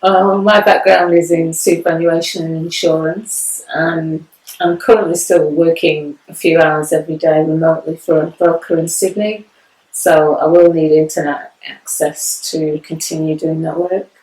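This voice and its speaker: A woman's voice: sits low, level tone, normal speed, a little mellow, roomy sound, poor audio. A woman, introducing herself and her partner as house sitters in a light, chatty way. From England. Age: 50s. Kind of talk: casual self-introduction video.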